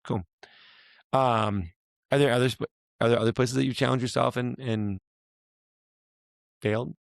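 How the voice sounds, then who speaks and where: A man hosting a casual talk, his voice hoarse and raspy from a week of yelling, rambling and restarting as he asks questions. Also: mid-range voice, fairly even intonation, moderate pace, no room sound, studio quality. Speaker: podcast host, fitness coach. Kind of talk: casual chat.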